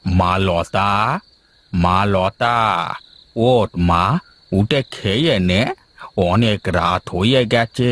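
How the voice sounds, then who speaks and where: A man playing an aging, caring village father in an animated folktale, with a fatherly way of talking. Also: sits low, drawn-out words, pauses often, no echo, heavily acted. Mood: fond.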